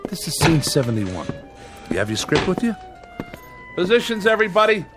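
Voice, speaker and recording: A man's voice: sits high, wildly swooping pitch, moderate pace, loud music behind the voice. An actor playing an exasperated film director who coaxes his star along. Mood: harried. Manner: exasperated, then coaxing. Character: harried, coaxing male film director.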